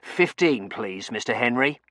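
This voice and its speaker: A man's voice: mid-range voice, lively intonation, measured pace, fairly bright tone, dry recording, voice pushed up. A man voicing a formal, anxious English butler in an audiobook, deferential and careful. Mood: polite, hesitant. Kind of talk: audiobook character acting.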